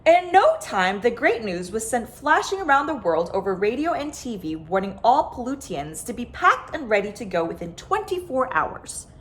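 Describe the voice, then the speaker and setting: Animated woman reading a picture book. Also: a little high, moderate pace, few pauses. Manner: dramatic, stressed sound words and phrases. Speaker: storyteller, host of a story time. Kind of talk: expressive children's picture book read-aloud.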